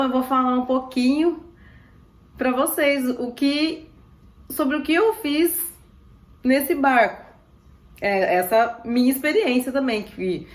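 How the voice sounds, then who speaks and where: A chatty woman talks straight to the camera, voice rough and hoarse from a cough. Her pitch sits a bit high, and she keeps it upbeat with quick asides. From Ilhabela, Brazil. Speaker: sailing vlogger. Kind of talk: casual chat to camera.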